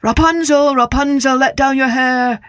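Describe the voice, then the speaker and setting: A woman's voice: sits high, steady tone, moderate pace, a bit bright, no echo, clean studio sound, pitched above the performer's own voice. Woman playing an ardent young storybook prince. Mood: calling out. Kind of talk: children's fairy tale storytelling.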